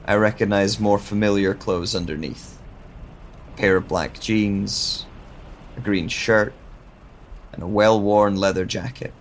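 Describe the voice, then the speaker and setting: A man plays a lost amnesiac narrating his own audio drama. His voice sits low and moves very slowly, pensive and halting as he searches for answers. Clear background ambience surrounds him. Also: fairly even intonation, slight background noise, natural voice. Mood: puzzled.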